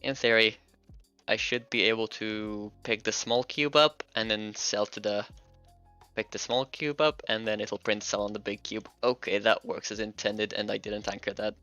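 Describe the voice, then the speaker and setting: A man's voice: fairly low pitch, steady tone, moderate pace, pushed and bright, clean tone, highs cut off, soft background music. A man from Hungary, a native Hungarian speaker, chatting casually on a livestream while he works, thinking aloud and laughing often. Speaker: streamer and game developer.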